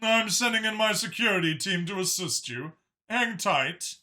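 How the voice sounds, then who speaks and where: A man's voice: sits high, animated, normal speed, bright, forceful tone, voice pushed up, full character voice. A man playing an authoritative counselor speaking carefully and insistently over comms in an audio story. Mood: urgent, deliberate.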